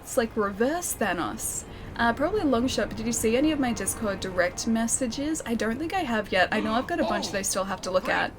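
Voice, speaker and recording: A young woman streaming a game and chatting with her viewers, her voice a little croaky and scratchy from a sore throat, laughing often and calling people dude and man. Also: on the high side, lively intonation, a little fast. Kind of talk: casual chat with live stream viewers.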